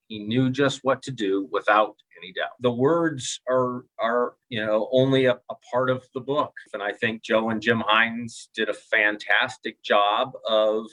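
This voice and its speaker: A man's voice: medium pitch, fairly bright tone, poor audio. A middle-aged man, a doctor and author, talking and reading in an easy, plain way. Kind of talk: interview talk and storybook reading.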